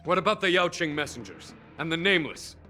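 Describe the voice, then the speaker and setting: A man voicing a calm, resolute general in an anime game, with a grave and deliberate delivery. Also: a little high, faint music behind.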